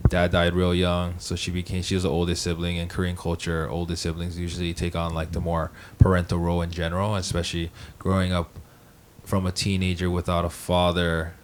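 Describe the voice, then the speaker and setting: A young man from Hawaii, a podcast co-host, talking casually, joking and swearing, then speaking softly and thoughtfully about his family. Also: deep voice, completely flat delivery, a little fast, slight background noise. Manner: joking, profane, then reflective and soft-spoken. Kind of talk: casual group chat, storytelling.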